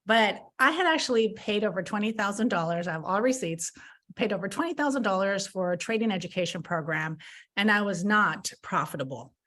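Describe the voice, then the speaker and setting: A woman's voice: medium pitch, expressive, a little fast, fairly bright tone. A woman in her fifties, a podcast guest, talking candidly about her past mistakes and often repeating words as she restarts. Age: 50s. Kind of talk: candid conversational interview.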